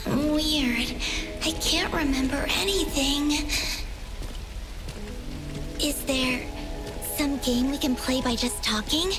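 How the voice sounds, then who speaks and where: A woman voicing a cheeky, chatty young girl agent in an anime-style game, with an informal, expressive delivery. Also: high-pitched, takes it slowly, long pauses, music in the background. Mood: curious, playful.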